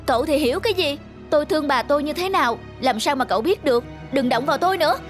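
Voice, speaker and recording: A woman's voice: high-pitched, animated, fast. A woman playing a shy, proud young schoolboy in a cartoon, guarded. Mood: defensive, irritated. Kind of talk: cartoon voice acting. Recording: music loud behind the voice.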